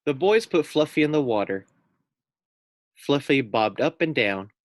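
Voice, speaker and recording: A man reading a children's storybook aloud like a teacher, pausing for casual asides and questions to his young listeners. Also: mid-range voice, expressive, dry recording, clean studio sound.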